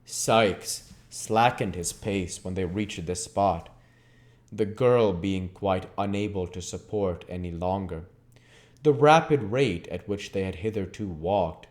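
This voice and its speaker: A man's voice: low voice, slow, darkish tone. A man reading a classic novel aloud as a dramatic, emphatic narrator. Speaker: audiobook reader.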